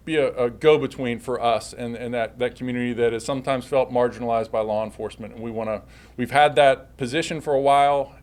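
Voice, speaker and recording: A man speaking as a police chief at a public briefing with slides, often repeating and correcting himself as he goes. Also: medium pitch.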